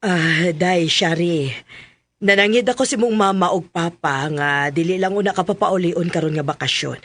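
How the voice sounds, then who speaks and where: A strict middle-aged Cebuano Filipino aunt in a radio drama. The voice is pitched high for a male, with a husky grain. The manner is bossy and firm. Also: moderate pace, a bit bright. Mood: firm, persuading.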